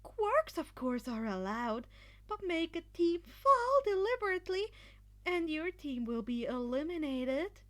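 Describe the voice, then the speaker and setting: Woman plays excitable female festival announcer: high, showy, stretched-out phrasing, relishing the rules. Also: heavily acted. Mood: excited announcing. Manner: gleeful, dramatic, drawn-out delivery. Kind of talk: fan fiction podfic character voice.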